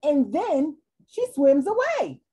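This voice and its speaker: Expressive, slow-paced woman reading a storybook. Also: high-pitched. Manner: expressive, deliberate. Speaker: storytime reader. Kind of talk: children's picture book read-aloud. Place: small room at home, indoors. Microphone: computer mic on a video call.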